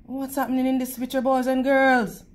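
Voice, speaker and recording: A woman's voice: high voice, little pitch movement, normal speed. A woman reading a children's story aloud in a teacherly way, addressing young listeners directly. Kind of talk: animated storytime narration with questions to children.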